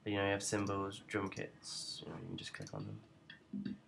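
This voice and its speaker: A young man with a deep, creaky voice talks through a software tutorial. He is informal and unscripted, feeling his way hesitantly from step to step. There is light noise behind his voice. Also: sing-song, moderate pace. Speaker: tutorial presenter. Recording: noticeable background noise.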